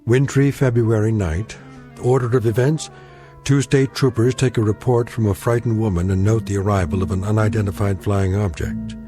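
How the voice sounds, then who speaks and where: A man giving measured, portentous scripted narration for a suspense radio drama. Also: fairly low pitch, steady tone, dark tone, faint music behind.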